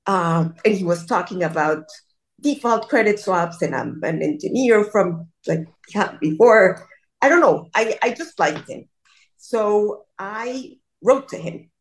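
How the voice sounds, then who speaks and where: A woman's voice: medium pitch, expressive, measured pace, rough recording. A middle-aged woman from Colombia, telling her story in a casual interview and rambling with many restarts and self-deprecating asides, over a video call. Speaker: guest.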